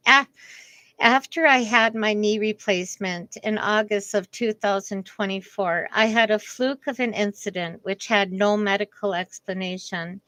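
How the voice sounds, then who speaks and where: A middle-aged woman speaks very slowly and deliberately, each phrase set apart by a pause. Her voice is bright and a little strained, as if pushing for air. The sound is dry and close, with no room around it. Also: mid-range voice, no echo, poor audio. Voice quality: voice strained after a vocal cord paralysis. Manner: earnest, deliberate, with careful pauses. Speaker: speaker giving a message on communication. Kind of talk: slow reflective personal talk.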